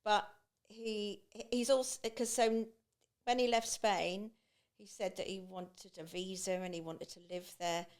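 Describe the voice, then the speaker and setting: A woman talking casually as a podcast guest. Her voice sits at a middling pitch. She chats on with many restarts and fillers. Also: steady tone, measured pace. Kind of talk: casual conversation.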